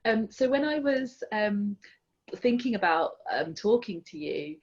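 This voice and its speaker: A woman's voice: mid-range voice, sing-song, a little slow, a little mellow, dull audio. A woman hosting a museum interview over a video call, speaking with many hesitant ums. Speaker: museum host.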